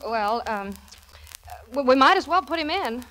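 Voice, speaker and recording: High-pitched, flustered 1940s radio comedy heroine. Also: female voice, lively intonation, measured pace. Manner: stammering, evasive, nervously breezy. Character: flustered woman faking being an experienced wife and mother. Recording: noisy 1940s radio broadcast with clicks.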